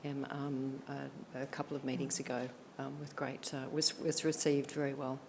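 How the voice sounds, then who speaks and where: A woman adds a comment in a formal meeting. Her voice is very low and flat, and she stumbles over repeated ums. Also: normal speed, slight background noise. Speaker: council staff adding a comment.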